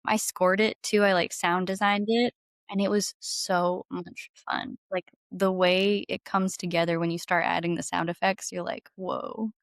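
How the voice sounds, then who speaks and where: Woman podcast guest, lowish voice, chatty and gushing, really dry close sound. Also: flat delivery, unhurried, creaky, dry recording. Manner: enthusiastic, effusive. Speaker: podcast guest, singer-songwriter and audio drama creator. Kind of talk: casual interview chat.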